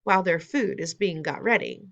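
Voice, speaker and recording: A woman's voice: lowish voice, expressive, moderate pace, vocal fry, dull audio. A woman reading aloud, voicing a clever young boy who has been turned into a mouse, eager and reasoning.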